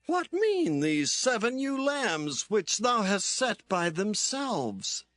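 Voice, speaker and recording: A man's voice: high voice, very animated, slow, a bit bright, no room sound, studio quality, heavily acted. A man playing a solemn, insistent king in a dramatised scripture reading. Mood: pleading, puzzled.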